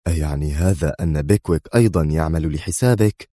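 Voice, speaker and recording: A sneering male spy as voiced by a man narrating in a thriller audiobook. He is tense and forceful, with a mocking, accusing edge. The recording is close and dry. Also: extremely low pitch, fast, no room sound. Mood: tense, surprised.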